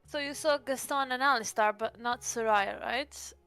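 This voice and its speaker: A woman's voice: a little high, normal speed, a bit bright, clear, resonant tone, faint music behind. A woman playing a tabletop roleplaying game, asking questions and floating plans in a tentative, casual way. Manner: tentative, inquisitive.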